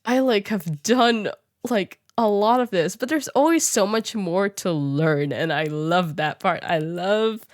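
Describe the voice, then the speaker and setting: Young woman, podcast host, casual chat, giggly and animated, mid-range pitch, very dry close recording. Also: a little slow, dry recording. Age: young adult. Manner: giggly, animated, talks with frequent "like". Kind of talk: casual conversational interview chat.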